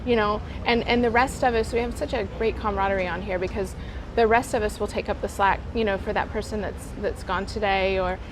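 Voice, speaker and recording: A woman's voice: mid-range voice, fairly even intonation, brisk. A woman who is a superyacht captain, chatting earnestly and enthusiastically in an interview outdoors at a boat show, often repeating words for emphasis. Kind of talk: casual interview chat. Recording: noisy outdoor recording.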